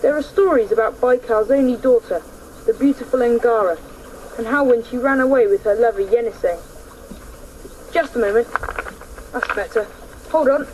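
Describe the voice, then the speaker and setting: A woman's voice: fairly high pitch, normal speed, long pauses, a little mellow, slight background noise, natural voice, low-quality recording. A woman acting as a bold, scrappy young boy in a radio play, with an assertive, dramatic delivery. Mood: absorbed, confident.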